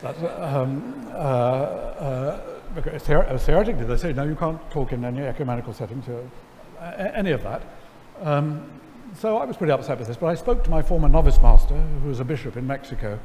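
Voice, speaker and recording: A man's voice: mid-range voice, brisk, few pauses. Middle-aged man, wry storyteller, headset mic, long church reverb, faint room hum. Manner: animated, gesturing, wry, many ums and restarts. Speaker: lecture guest. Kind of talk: storytelling in an on-stage conversation. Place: large church sanctuary before an audience. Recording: hall reverb, faint ambience.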